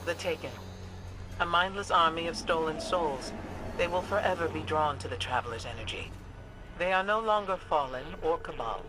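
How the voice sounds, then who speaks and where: A woman's voice: sits low, over music, natural voice. A woman playing a grave, commanding warrior-mage. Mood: urgent. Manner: solemn, measured, commanding. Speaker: game character. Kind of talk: video game cutscene acting. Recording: game mix with loud score.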